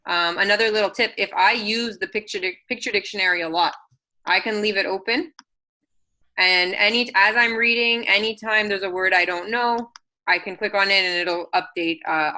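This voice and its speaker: Bright-voiced woman demonstrating software. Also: medium pitch, poor audio. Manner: explanatory, frequent ums and self-corrections. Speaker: assistive technology presenter.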